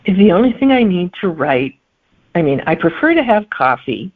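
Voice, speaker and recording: A middle-aged woman, a guest author, answering interview questions in a thoughtful way and pausing to find her words. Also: lowish voice, big pitch swings. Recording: phone-like remote line.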